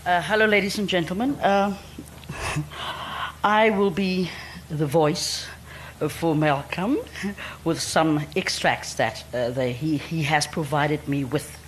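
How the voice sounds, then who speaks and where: A South African woman reading book extracts aloud to an audience, in an animated, playful manner with frequent laughs. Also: deep voice, slow pace, long pauses, a little hiss or hum, rough recording. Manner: animated, laughs often, playful quoting voices. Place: event room with an audience.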